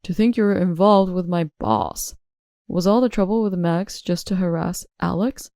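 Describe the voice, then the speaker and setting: Woman doing a stern husband in a drama story, cold and accusing, darker mellow tone, dry recording. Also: fairly low pitch, lively intonation, normal speed, dry recording. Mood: cold accusation. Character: stern wronged husband, cold and resolute adult man.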